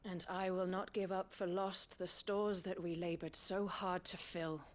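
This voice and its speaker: A woman's voice: fairly low pitch, level tone, a little slow, close to an everyday voice. A woman playing a determined, defiant merchant in a video game, speaking formally and with emphasis. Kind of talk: dramatic video game dialogue.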